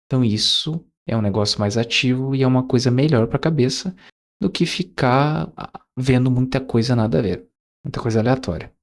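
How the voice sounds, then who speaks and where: A man's voice: medium pitch, brisk. A man, talking casually to his viewers in a reflective monologue, rambling with fillers and self-corrections. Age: 20s. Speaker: YouTuber.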